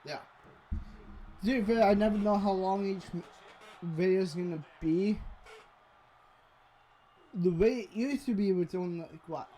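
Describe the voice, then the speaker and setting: A man chatting casually during a gaming session, hesitant and halting, often trailing off mid-sentence. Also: high voice, mellow, a little hiss or hum, poor audio. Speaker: gaming channel co-host. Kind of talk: casual chat over gameplay.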